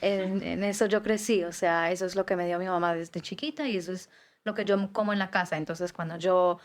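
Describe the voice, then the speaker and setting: A woman's voice: on the low side, fairly even intonation, a little fast. Young woman, hesitant interview guest. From Israel. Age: 20s. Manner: hesitant, many fillers and restarts. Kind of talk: casual interview.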